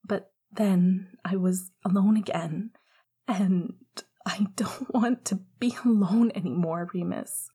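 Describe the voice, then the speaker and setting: A woman's voice: medium pitch, fairly even intonation, slow, breathy edge. A woman whispering as a tender, choked-up young man. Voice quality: soft whispery delivery. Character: emotional young man fighting back tears, tender.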